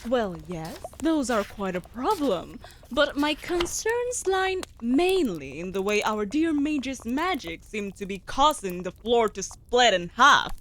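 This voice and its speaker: A woman playing a sharp-tongued, bossy wolf familiar in a fantasy audio roleplay, speaking in a haughty, sardonic, formal way. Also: a little high, big pitch swings, slow, slight background noise, exaggerated voice. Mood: alarmed, exasperated.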